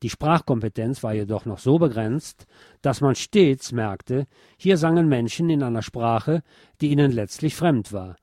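Male narrator, mellow and dry-recorded, measured formal delivery of a written essay. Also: medium pitch, animated, dry recording. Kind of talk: scripted spoken essay on pop history, read aloud.

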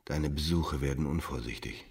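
A man's voice: a bass voice, normal speed. A man acting a self-assured, languid lover in a German literary radio drama, drawling with mocking amusement. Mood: dismissive, amused.

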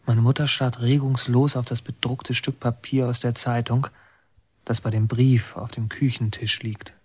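An actor playing a defiant, brooding young inmate in a radio drama, intense and urgent. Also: male voice, medium pitch, little pitch movement, moderate pace, a little mellow, slightly rough, lightly acted. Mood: tense narrating. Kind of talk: German radio drama acting and narration.

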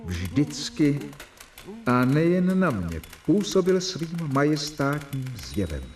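A man narrating a radio drama in a reflective, measured way. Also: a little high, huge pitch swings, darkish tone, distant mic, soft background music. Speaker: narrator reading diary.

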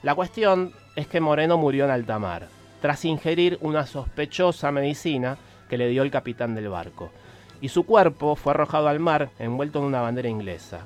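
A man with an Argentine Rioplatense accent explaining history on the radio, didactic, with halting pauses and emphatic stresses. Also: fairly high pitch, animated, soft background music.

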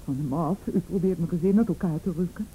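A woman's voice: lowish voice, quick pace, mellow, a little hiss or hum, close to an everyday voice, low-quality recording. An actress in a radio play voicing a distraught, jealous wife and mother, agitated and accusing. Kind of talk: emotional radio play acting.

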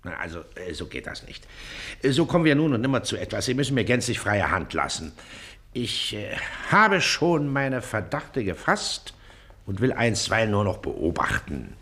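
An irritable Prussian magistrate in a radio drama. His delivery is highly animated, swinging widely in pitch, self-important and blustering, with a condescending edge. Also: normal speed, heavily acted. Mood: officious, condescending. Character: pompous, irritable male Prussian district magistrate. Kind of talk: German stage-comedy radio drama acting.

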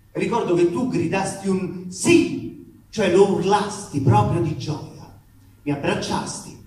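In a very echoey space, picked up from a distance, a man reads a letter aloud as comic theatre. His voice sits a little high for a man. The delivery is emphatic and ironic, full of grievance and colloquial swearing. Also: dark tone. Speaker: actor reading aloud. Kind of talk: comic theatrical reading of a letter to a live audience. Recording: echoey room, distant mic, faint noise.

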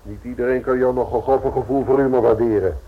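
An actor in a radio play voicing a brisk, guarded security firm manager, courteous but firm, with a husky voice. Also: male voice, mid-range voice, fairly even intonation, natural voice. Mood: stern. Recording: tinny, narrow-band old radio recording.

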